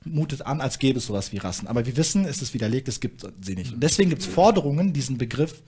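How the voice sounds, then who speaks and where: A man's voice: mid-range voice, expressive, fast talker, warm tone, breathy edge. A young man on a studio panel, explaining his views earnestly with frequent fillers. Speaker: panel guest, activist. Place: studio stage with small audience.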